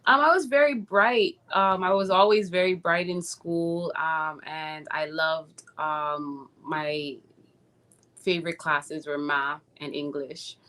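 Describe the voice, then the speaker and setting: A young woman from Trinidad, a recording artist, answering interview questions in a relaxed way, pausing on "um" and laughing easily. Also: low-pitched.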